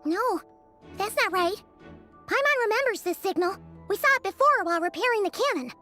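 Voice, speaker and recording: Little earnest fairy sidekick for a game, super high squeaky kid voice, music behind. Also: female voice, expressive, brisk, sharp tone, heavily acted. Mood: earnest, explaining. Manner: refers to herself in third person, emphatic. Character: small childlike floating fairy companion, chirpy and earnest. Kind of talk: video game voice acting.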